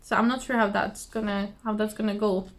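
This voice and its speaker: A woman with a slightly hoarse voice, chatting casually to camera at home in a rambling, giggly, self-deprecating way. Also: mid-range voice, level tone, a little fast. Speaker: cross-stitch vlogger. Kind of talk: casual chatty craft vlog. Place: indoors at home, trees outside behind her.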